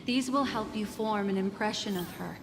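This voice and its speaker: An actress playing a composed, elegant mystic guide in a video game, speaking in a measured, formal way. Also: female voice, medium pitch, noisy background, close to an everyday voice. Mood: urgent. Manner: measured, formal, deliberate phrasing. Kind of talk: dramatic video game voice acting. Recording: long hall reverb under game music.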